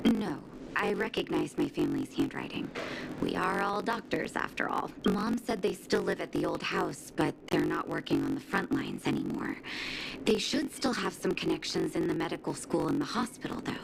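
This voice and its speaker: Caring game doctor, woman, gentle, earnest, low voice, lively intonation. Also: normal speed, gravelly, full character voice, rough recording. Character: kind adult woman doctor, caring and reflective. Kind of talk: game cutscene voice acting. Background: game music.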